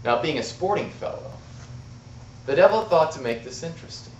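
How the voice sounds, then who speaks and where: A man telling a tall tale to an audience as an amateur contest speaker, with dramatic, deliberate delivery and emphatic pauses. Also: on the high side, expressive, a little mellow, slight background noise. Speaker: amateur storyteller in a speech contest. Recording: roomy, distant, muffled club-room recording.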